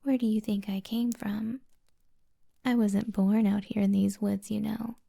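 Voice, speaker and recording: A woman playing a kind, flirty witch healer in an intimate ASMR roleplay, speaking in a soft, breathy, often whispered voice with gentle teasing. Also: medium pitch, little pitch movement, full character voice. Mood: amused, teasing. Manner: slow, soothing, gently teasing.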